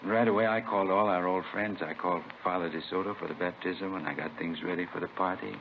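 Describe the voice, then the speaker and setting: Through a tinny, hissy old radio recording comes a trusting new father. His voice is fairly low, and he talks in simple, friendly phrases, proud and eager to oblige. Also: fairly even intonation, fast talker. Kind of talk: 1950s radio drama acting.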